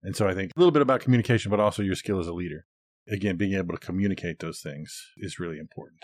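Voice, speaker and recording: Male co-host, lower voice, dry studio-like sound, agreeable and plain-spoken in casual discussion. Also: rapid-fire, dry recording. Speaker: podcast co-host. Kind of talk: casual conversational podcast discussion.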